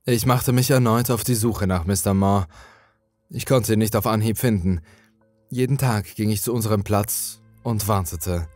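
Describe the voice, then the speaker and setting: A man narrating a scripted horror story in a dramatic, brooding, deliberate manner. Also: on the low side, darkish tone, dry recording, clean studio sound, soft background music.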